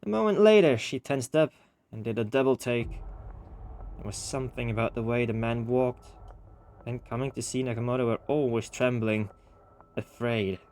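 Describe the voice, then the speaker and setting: A man's voice: medium pitch, moderate pace, long pauses, darkish tone, a little hiss or hum. A man reading a game story aloud and commenting on it casually, with informal slang. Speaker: gaming host reading a visual novel aloud. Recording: music behind the voice. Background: game music with guitar.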